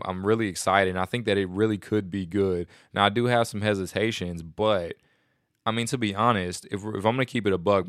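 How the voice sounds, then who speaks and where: Man, podcast host, slightly nasal and congested, monotone delivery, dry close recording. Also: deep voice, clear, resonant tone, no room sound. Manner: excited, rambling, casual slang with restarts. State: congested from a stomach bug. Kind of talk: casual solo commentary.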